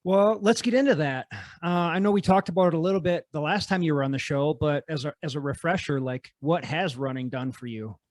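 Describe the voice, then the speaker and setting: A male podcast host with a slightly high voice chats in a relaxed, enthusiastic way. His dry, close sound carries easy agreement and a frequent "man" at the ends of his lines. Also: a little fast, no room sound. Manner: laid-back, enthusiastic, says "man" often. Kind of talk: casual conversation.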